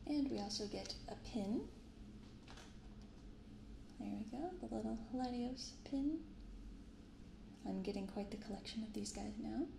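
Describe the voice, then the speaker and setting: A young woman talks in a soft, gentle ASMR manner with a good deal of vocal fry. She chats casually and takes her time. The binaural recording sounds roomy, with clear background noise. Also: a little high. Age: 20s. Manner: soft-spoken, gentle, unhurried. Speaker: host of a card-opening ASMR channel. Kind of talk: soft-spoken ASMR, casual unboxing chat.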